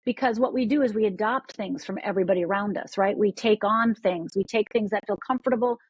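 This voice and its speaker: A woman's voice: medium pitch, fast talker, slightly rough, no echo, dull audio. A woman from Upper Michigan, a podcast guest, talking with energy and often repeating words as she restarts sentences. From Upper Michigan, USA. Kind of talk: podcast interview.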